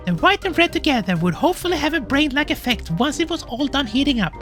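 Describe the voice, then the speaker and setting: A woman's voice: sits high, sing-song, fast, a bit bright, faint music behind. A woman voicing an anxious, easily flustered animal dad, telling the story in first person with a hesitant, emotive delivery. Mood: nervous, narrating. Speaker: narrator. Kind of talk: dramatic first-person visual novel reading.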